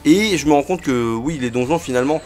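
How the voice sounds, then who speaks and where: A man's voice: a little high, fairly quick, a little mellow. A man with a cold casually commenting on a game he is playing, chatty and full of fillers and interjections. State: has a cold. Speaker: let's play host.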